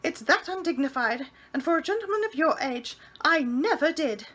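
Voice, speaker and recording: A woman's voice: expressive, moderate pace, a bit bright. A woman voicing a prim, fussy older landlady who is easily scandalized and talks indignantly. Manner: indignant, prim, shrill when alarmed.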